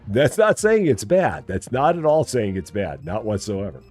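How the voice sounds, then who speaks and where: A man's voice: on the low side, very animated, moderate pace, a little mellow, soft background music. A man with a Midwest Illinois accent chatting casually during a live game stream, repeating himself and teasing playfully. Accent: General American, Midwest Illinois. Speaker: gaming streamer. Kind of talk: casual live-stream chat while playing.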